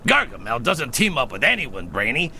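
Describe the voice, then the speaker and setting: An actor playing a wise, stern elderly Smurf leader, speaking in a firm, cautionary way in a cartoon video game. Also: male voice, mid-range voice, huge pitch swings, normal speed, gravelly, sharp tone, a little hiss or hum, heavily acted. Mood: stern, wary.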